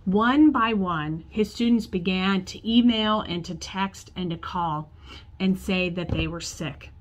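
A woman in her forties, a pastor, preaching a sermon to camera from her office, telling a story in a warm conversational way. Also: fairly low pitch, measured pace, a little hiss or hum, rough recording. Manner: storytelling, acts out quoted voices, gestures with hands. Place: church office with bookshelves, indoors.

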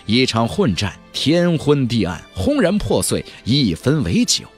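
A man's voice: mid-range voice, wildly swooping pitch, measured pace, faint music behind. A man narrating a fantasy audiobook in a dramatic, theatrical and emphatic storytelling style. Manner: theatrical, emphatic, with exclamatory flourishes.

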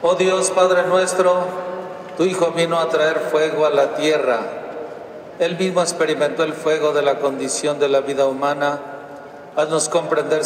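A middle-aged man, a priest, leading prayers and a blessing in a solemn, slow, measured way, into a handheld mic in a large church. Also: mid-range voice, distant mic, a little hiss or hum. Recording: echoey church with long reverb, from afar.

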